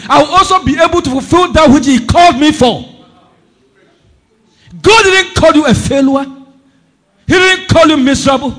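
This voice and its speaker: High-voiced pastor guy preaching hard, bright and forceful, shouts then drops low. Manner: impassioned preaching, swings between shouted declarations and low asides. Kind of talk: sermon. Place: church service.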